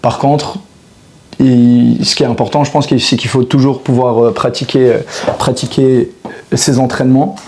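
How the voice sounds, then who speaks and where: A man's voice: medium pitch, flat delivery, normal speed, a little mellow. A man in his thirties, a fitness coach, talking reflectively to camera in a casual monologue, full of hesitations and restarts. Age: 30s.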